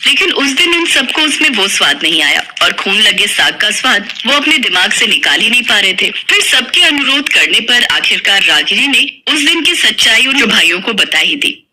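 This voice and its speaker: A woman's voice: medium pitch, very animated, moderate pace, hardly any pauses, bright, forceful tone, breathy edge, low-quality recording. A woman narrating a folk tale from a script as a storyteller.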